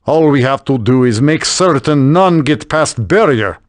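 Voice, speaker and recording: Game inventor, male actor: proud, blunt, broken English, deliberate pace, swooping intonation. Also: medium pitch, animated, dry recording, clean studio sound, close to an everyday voice. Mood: self-satisfied, matter-of-fact. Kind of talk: video game voice acting.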